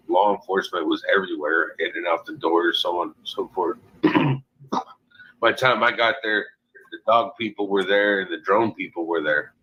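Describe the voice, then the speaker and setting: A man's voice: sits low, sharp tone, husky, rough recording. A man being interviewed, talking informally and candidly in a blunt, rambling, defensive manner. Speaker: interviewee, a parent.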